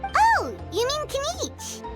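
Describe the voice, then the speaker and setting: A woman voicing a tiny, cheeky fairy companion in a video game, with a squeaky, childlike voice. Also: wildly swooping pitch, slow pace, exaggerated voice. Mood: curious. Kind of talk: English video game voice acting. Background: background music.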